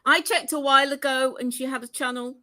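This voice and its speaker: A woman's voice: high-pitched, steady tone, fairly quick, pushed and bright. A woman chatting casually on a group call with wry, dry humor. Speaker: call-in guest. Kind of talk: casual chat.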